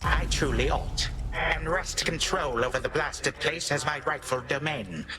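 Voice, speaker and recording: A man's voice: on the high side, a little slow, close to an everyday voice. An actor playing an ancient titan tyrant in a video game, pompous and sardonic, speaking in formal archaic phrases with menacing grandfatherly condescension. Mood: wry condescension. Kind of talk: theatrical video game villain acting. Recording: studio voice over game music.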